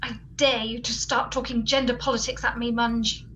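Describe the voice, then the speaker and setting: Woman playing brisk, high-voiced gadget expert Boothroyd. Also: a little hiss or hum, close to an everyday voice, rough recording. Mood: earnest, firm. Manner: brisk, deadpan, emphatic. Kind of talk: comedic radio play acting.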